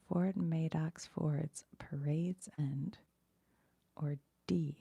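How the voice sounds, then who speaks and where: A woman speaks in a very breathy whisper that crackles with heavy vocal fry. She reads quiz material for ASMR and drops in casual, murmured asides. The sound is close and dry. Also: low-pitched, no echo. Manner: hushed, musing aloud. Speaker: ASMR streamer reading trivia questions and viewer comments.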